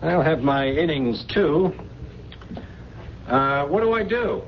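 A man's voice: on the high side, expressive, slow. An actor playing a proud, sarcastic, grudging man of privilege in a 1970s radio drama. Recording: dull old radio recording with hiss.

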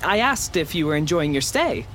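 An actor playing a coolly courteous, unflappable hotel servant in a noir audio drama, stiff and deferential. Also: male voice, a little high, big pitch swings, normal speed, a bit bright, slight background noise. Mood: stiffly polite.

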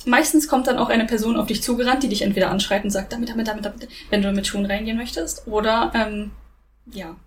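A young woman explaining things in a relaxed, giggly casual chat. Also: a little high, fast, slight background noise. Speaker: co-host. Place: living in Japan.

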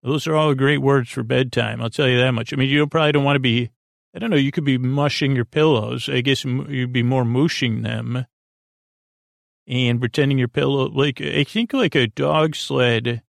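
A man with a creaky voice hosting a bedtime podcast, rambling in a soothing, sleepy, hesitant way with stop-and-start phrasing. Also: mid-range voice, steady tone, moderate pace, no room sound, clean studio sound. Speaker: podcast host.

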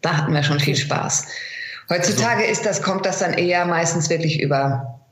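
A middle-aged woman talking as an interview guest in a colloquial, animated conversational way, as a working voice actress. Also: on the low side, moderate pace. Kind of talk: conversational interview, anecdotes and advice. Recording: roomy, echoing sound.

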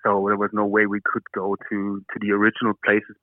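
A man's voice: on the low side, monotone, fairly quick, no echo, soft background music. A man from Austria with an Austrian German accent, speaking English as a second language, answering interview questions by phone, hesitant with many fillers. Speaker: actor, interview guest. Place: hotel room. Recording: tinny phone line, dry.

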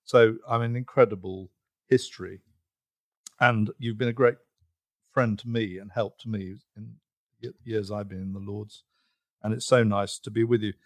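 A man hosting an interview, talking in a halting, conversational way with pauses and restarts. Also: on the low side, fairly even intonation, dry recording, studio quality. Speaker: interview host.

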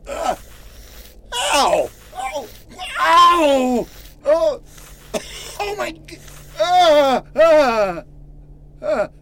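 A man's voice: very high-pitched, sing-song, extremely slow, long pauses, a bit bright, a little hiss or hum, heavily acted. An actor playing a nervous, paranoid male patient who stammers and rambles, in old-time radio horror style. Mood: agonized. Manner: stammering, jittery, chatty.

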